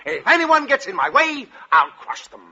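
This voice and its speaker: An actor playing a pompous, irritable middle-aged American school principal who barks indignantly in a 1940s radio comedy. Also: male voice, extremely high pitch, sing-song, normal speed, bright, forceful tone, exaggerated voice. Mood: pompous indignation. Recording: muffled vintage 1940s radio recording.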